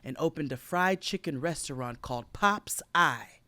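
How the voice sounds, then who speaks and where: A man's voice: on the high side, expressive, normal speed, sharp tone, no room sound. A man co-hosting a podcast, telling a made-up history tale in a mock-solemn comic way. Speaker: podcast co-host. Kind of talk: comic tall-tale storytelling.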